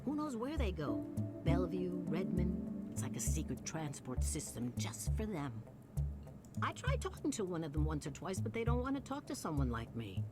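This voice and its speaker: Low and lively, this is a gossipy, suspicious old woman rambling away in a video game. Her pitch swings about freely, and music plays clearly behind her. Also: normal speed. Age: older.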